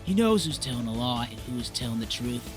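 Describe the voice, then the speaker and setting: A man playing a stern but courteous protector in an animated fan drama. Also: mid-range voice, brisk, music in the background, lightly acted. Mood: stern, menacing.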